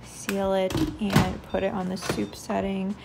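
A woman's voice: mid-range voice, monotone, measured pace, vocal fry, very noisy. A young woman narrating her cooking in a casual, matter-of-fact way, explaining each step as she goes. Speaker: lifestyle vlogger. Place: home kitchen.

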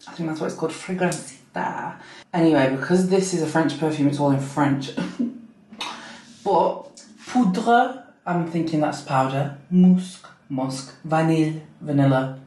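A young woman with a deep voice, chatting to camera at home in a gushing, giggly way. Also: a little slow, warm tone. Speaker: vlogger. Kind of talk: casual chat to camera. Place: home bathroom at night, then a bright kitchen. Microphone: camera on a low stand. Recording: echoey room, camera a bit far.

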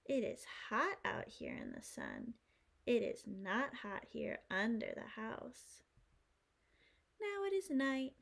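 A woman in her thirties reading aloud to young children as a preschool teacher, phrasing simply and clearly. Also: mid-range voice. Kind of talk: children's storytime read-aloud.

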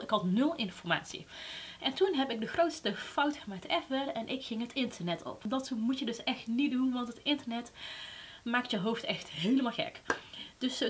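Candid young woman telling her story. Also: a little high. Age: late 20s. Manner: candid, conversational, quickens when recounting thoughts, drops softly on grave facts. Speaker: beauty vlogger. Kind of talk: personal story told to camera. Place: indoor room before a plain backdrop.

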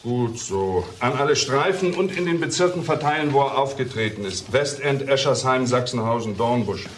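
A man's voice: medium pitch, lively intonation, normal speed, natural voice. Commanding male detective actor in echoey room. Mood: commanding, urgent. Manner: clipped, authoritative. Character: brisk, commanding police detective leading a manhunt. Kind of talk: German crime radio drama acting. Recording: echoey room with clear noise, clicks.

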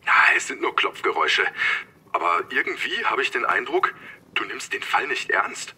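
An actor playing a detective in an audio drama, heard through a phone or radio filter. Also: male voice, medium pitch, sing-song, moderate pace, pushed and bright, husky, full character voice. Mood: concerned. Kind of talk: German audio drama acting.

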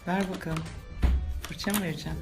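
A woman's voice: sits low, fairly even intonation, brisk. A woman guest in a kids' video, chatting casually and playfully in short, bubbly reactions. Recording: roomy echo, clear music behind.

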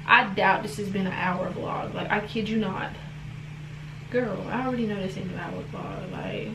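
A young woman talking casually to her camera at home as a vlogger, chatty and rambling, often calling her viewers "y'all". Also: mid-range voice. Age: young adult. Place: home room indoors, bathroom later. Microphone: phone or camera propped low, close. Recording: echoey room with noise.

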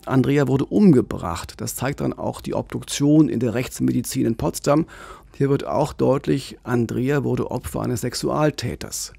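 A man's voice: medium pitch, creaky, darkish tone. A middle-aged man co-hosting a true-crime podcast, talking earnestly to listeners from a radio studio mic. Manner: earnest, engaged, speaks straight to listeners. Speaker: co-host, crime reporter. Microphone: large studio mic on a boom, headphones.

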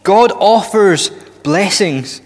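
A man in his thirties preaching a sermon in a church hall on a headset mic, earnest and deliberate, often restarting and building emphasis. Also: high voice, animated. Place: church hall with long reverb.

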